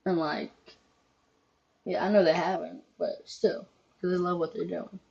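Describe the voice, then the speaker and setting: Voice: medium pitch, level tone, measured pace, gravelly, a little mellow, lo-fi sound. Rambling young reaction host. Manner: rambling, loose, swears casually, many ums. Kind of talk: casual reaction commentary.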